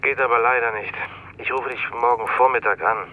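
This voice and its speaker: An actor playing a shrewd, easygoing newspaper journalist on the telephone in a radio drama, teasing and familiar in manner. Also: male voice, mid-range voice, steady tone, fairly quick, phone-quality sound, natural voice. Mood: wry, familiar. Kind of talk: naturalistic radio drama acting. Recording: voice on telephone, faint noise.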